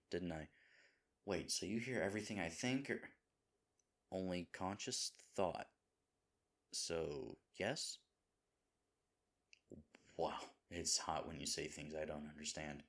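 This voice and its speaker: A man playing a sweet, dim himbo boyfriend in an audio roleplay, talking in a halting, rambling, flustered and earnest way. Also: low voice. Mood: flustered, amazed. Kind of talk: audio roleplay acting, intimate one-sided dialogue.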